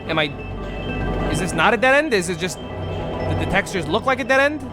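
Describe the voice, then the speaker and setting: A man chats his way through a game, jokey and profane, wandering off topic. His voice sits a little high and swings widely. Loud game music runs behind him. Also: moderate pace. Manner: rambling, swearing, jokey, animated. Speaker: gameplay streamer. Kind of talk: casual gameplay commentary.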